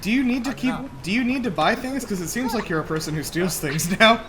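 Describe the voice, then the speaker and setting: A man's voice: high voice, animated, a little fast, crowd noise. A man giving casual, joking, wry commentary as he reacts to a movie. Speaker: movie reaction show host.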